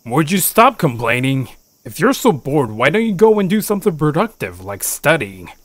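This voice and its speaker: A man's voice: on the high side, very animated, moderate pace, fairly bright tone, no room sound. A man playing a nagging but sensible parent in a scripted audio drama. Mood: nagging, reasonable.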